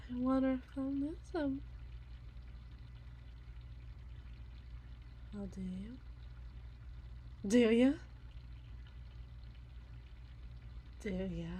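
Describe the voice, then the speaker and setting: A woman playing an obsessive, unhinged yandere wife in an ASMR roleplay monologue, theatrical and halting, with eerie, menacing tenderness and a breathy voice. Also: a little high, a little hiss or hum. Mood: hushed, accusing. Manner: theatrical, halting, drawn-out repetitions, swinging from hushed to intense.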